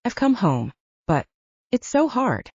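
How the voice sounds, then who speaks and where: A woman's voice: mid-range voice, sing-song, slow pace, vocal fry, darkish tone, husky, dry recording, voice pushed up, heavily acted. A woman voicing a big, insecure teenage younger brother in an audiobook, earnest, blurting and awkward. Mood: earnest, stressed. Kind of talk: audiobook character dialogue. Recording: dull, slightly muffled audio.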